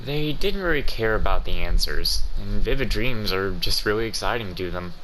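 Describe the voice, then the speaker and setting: A woman's voice: very deep voice, lively intonation, moderate pace, a bit bright, slight background noise, poor audio. A woman hosting a game playthrough, reacting casually with slangy, bantering commentary. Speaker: gaming video host. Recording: game music behind the voice.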